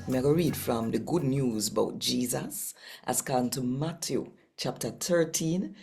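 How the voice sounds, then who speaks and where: A woman's voice: very deep voice, mellow. A woman with a Jamaican accent, hosting a devotional channel and reading scripture aloud. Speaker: channel host and scripture reader.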